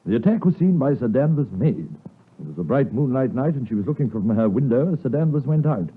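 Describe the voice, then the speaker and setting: An actor playing a stern, loyal British gentleman lawyer in a 1950s radio drama, formal, blunt and emphatic. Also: medium pitch, sing-song, a little fast, breathy edge. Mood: grave. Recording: muffled, lo-fi 1950s radio broadcast.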